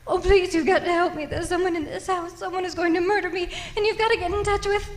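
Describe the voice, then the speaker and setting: A frantic, high-pitched invalid lady. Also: fairly even intonation, fast talker. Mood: panicked, hysterical. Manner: imperious, pleading, stammering. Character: frantic, frightened, demanding invalid lady alone at home. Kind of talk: old-time radio suspense acting.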